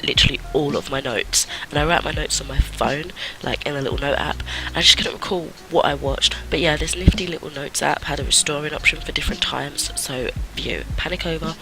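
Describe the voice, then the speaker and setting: Guy with a cold, kinda high voice, chatty film vlog, laughs at himself, noisy recording with clicks. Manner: chatty and self-mocking, laughs often. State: has a cold. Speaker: film review vlogger. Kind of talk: casual monthly film wrap-up.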